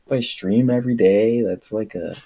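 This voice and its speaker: A man livestreaming a book reading in a casual, rambling, irreverent way, with a husky voice and an exasperated, sweary manner. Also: fairly low pitch, normal speed, mellow. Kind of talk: casual rambling livestream with read-aloud passages.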